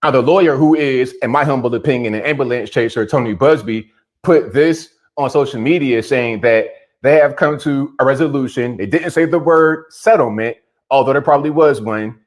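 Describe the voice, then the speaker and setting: A man's voice: mid-range voice, moderate pace. A man giving opinionated commentary on a news story, talking bluntly and directly. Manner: direct, addresses subjects bluntly.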